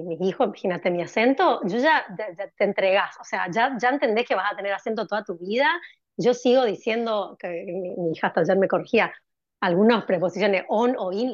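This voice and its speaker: A middle-aged woman from Argentina with an Argentine accent, chatting as an interview guest and pausing often on fillers and restarts. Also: mid-range voice, dry recording, lo-fi sound. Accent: Argentine Spanish. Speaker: guest, cardiologist. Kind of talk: conversational interview answers.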